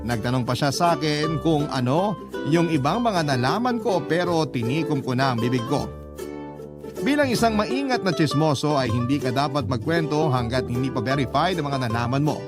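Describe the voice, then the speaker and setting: A man's voice: a little high, expressive, moderate pace. A man giving scripted first-person narration for a radio drama, confiding his story directly to the host, with music underneath.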